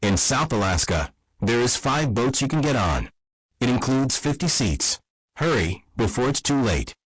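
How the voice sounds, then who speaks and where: A husky-voiced man reading scripted emergency alert announcements. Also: mid-range voice, big pitch swings, moderate pace, dry recording, low-quality recording.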